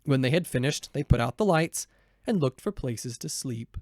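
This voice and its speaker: A man's voice: medium pitch, lively intonation, normal speed, no room sound, clean studio sound. A man narrating a children's storybook as a voiceover performer.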